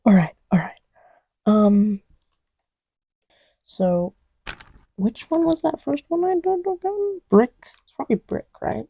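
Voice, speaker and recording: A woman playing an affectionate, scatterbrained girlfriend in a casual roleplay audio, soft-spoken and often whispery, rambling playfully and self-deprecatingly. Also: sits high, sing-song, slow pace, vocal fry, pauses often, no echo, full character voice. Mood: flustered, absorbed. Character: affectionate, scatterbrained girlfriend testing markers on her boyfriend's lap. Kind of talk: casual, improvised-sounding girlfriend roleplay audio with ASMR feel.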